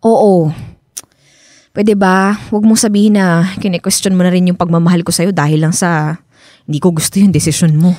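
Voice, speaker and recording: A woman's voice: lowish voice, steady tone, moderate pace, long pauses, warm tone, dry recording, clean studio sound, close to an everyday voice. A woman acting a young, defensive but loving girlfriend in a Filipino radio drama, emotional and conversational. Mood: soft, apologetic.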